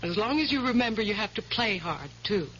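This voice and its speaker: Actress as a bright, assertive young fiancée. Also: female voice, medium pitch, lively intonation, brisk, lightly acted. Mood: confident, commanding. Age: 20s. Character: direct, ambitious young socialite fiancée in her twenties. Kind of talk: old-time radio mystery drama acting. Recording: dull vintage radio recording with hum.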